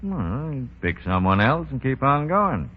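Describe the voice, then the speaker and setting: An actor playing an easygoing, wary racket boss in a 1930s radio drama. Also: male voice, medium pitch, big pitch swings, measured pace. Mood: wary, matter-of-fact. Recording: muffled, noisy 1930s radio recording.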